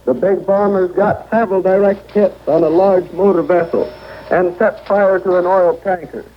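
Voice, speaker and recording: A high-pitched man delivers a scripted war report in 1940s radio news style. His voice comes through an old muffled shortwave broadcast with faint noise behind it. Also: steady tone, normal speed.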